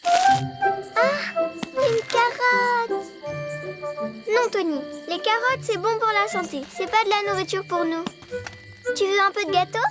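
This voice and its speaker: Over loud music comes a very high, childlike voice: a stubborn little girl elephant in a children's cartoon. She is bossy and excitable, turning everything into a theatrical exclamation. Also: slow, long pauses, heavily acted.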